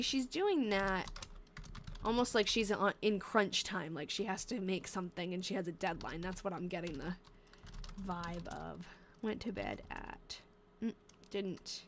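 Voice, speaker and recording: A woman's voice: medium pitch, a little hiss or hum. A woman in her twenties with a stuffy nose and a fading voice, chatting casually on a live stream and reading short diary lines aloud between her comments. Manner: chatty, breaks off mid-sentence, reads short diary lines then comments. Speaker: livestream host reading old diaries.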